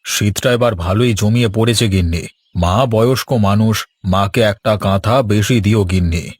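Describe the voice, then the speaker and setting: A fairly low, dry-sounding village farmer, voiced by a man for a cartoon. He is a gentle, considerate husband, with music playing behind him. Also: normal speed, no room sound, close to an everyday voice. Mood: gentle, agreeable. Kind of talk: Bengali cartoon voice acting.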